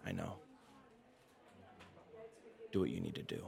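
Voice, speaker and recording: A man's voice: low voice, sing-song, a little slow, gravelly, a crowd in the background, heavily acted. A man playing a protective, anguished middle-aged father with an American accent in an audio drama. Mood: resigned. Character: protective, anguished father working at a secretive corporation.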